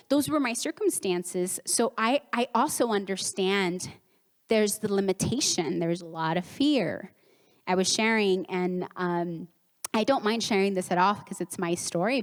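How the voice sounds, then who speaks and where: A woman in her thirties, a therapist whose first language is Spanish, giving a conversational conference talk with acted-out quotes. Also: medium pitch. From United States, Mexican home. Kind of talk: conference presentation. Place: conference hall. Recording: reverberant conference hall.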